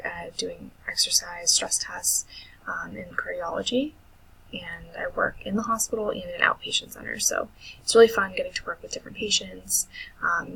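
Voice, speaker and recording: An American woman in her twenties with a breathy, husky voice, casually introducing herself as a student. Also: on the low side, a bit bright, poor audio. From United States. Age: 20s.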